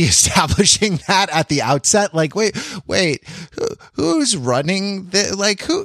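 A man co-hosting a podcast, musing intellectually in casual discussion with halting, circling phrases. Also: a little high, a bit bright, no room sound, clean studio sound. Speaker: podcast co-host.

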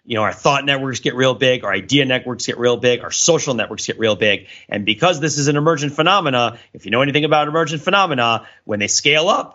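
A man's voice: mid-range voice, pushed and bright, muffled recording. A middle-aged man co-hosting a podcast, talking through ideas in a casual, rambling, analytical way and often checking in with "right?". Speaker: podcast co-host.

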